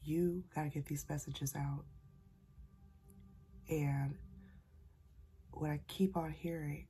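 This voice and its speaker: A woman sharing a casual spiritual message, talking softly because she is a little sick, in a husky, near-whispered voice and a folksy manner. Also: low voice, fairly even intonation, slow pace, slight background noise. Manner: folksy, calls listeners y'all and child. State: slightly sick, keeping voice soft. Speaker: spiritual channeler.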